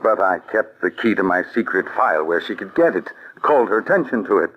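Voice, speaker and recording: An actor playing an anxious, lovesick army colonel in an old radio drama, speaking in an earnest, halting way. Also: male voice, low voice, lively intonation, slight background noise. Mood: troubled. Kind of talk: 1940s radio acting. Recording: dull lo-fi old radio recording.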